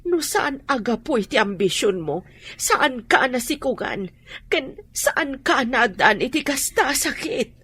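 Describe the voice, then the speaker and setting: A woman's voice: high-pitched, big pitch swings, a little slow, a bit bright, slightly rough, no echo, exaggerated voice. An actress with a Filipino accent playing a stern, worried mother in a radio drama. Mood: stern concern. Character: stern, worried mother questioning her daughter.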